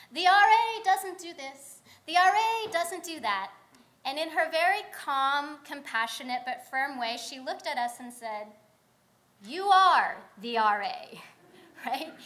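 A young woman rabbi delivers a measured sermon from a lectern, her voice high and clear. She reasons aloud and poses questions to the congregation. The sound is picked up at a distance in a large wood-paneled sanctuary. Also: a bit bright. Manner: thoughtful, measured preaching with rhetorical questions. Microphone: far fixed camera, lectern mic.

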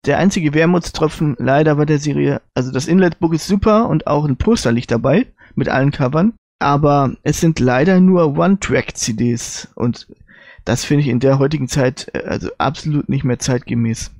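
A man's voice: fairly high pitch, moderate pace, darkish tone, no echo, studio quality. A man co-hosting a casual panel chat about audio dramas, hesitantly searching for his words as he gives opinions. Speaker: podcast co-host.

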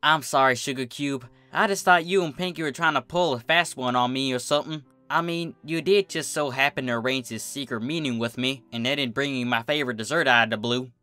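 A man's voice: mid-range voice, brisk, few pauses, pushed and bright, clear, resonant tone, soft background music, lightly acted. A man voicing a humble, kindly female farm pony. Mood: soothing. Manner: folksy, soothing, plain-spoken.

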